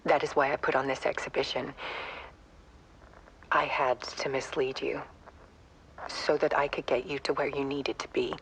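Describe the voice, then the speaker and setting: Game role, haunted woman artist: intimate confession, breath-soft half-whisper, halting phrases, slight ambient noise underneath. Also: rumbling low, level tone, low-quality recording. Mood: tender, resolute. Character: haunted artist woman confiding to a lost loved one.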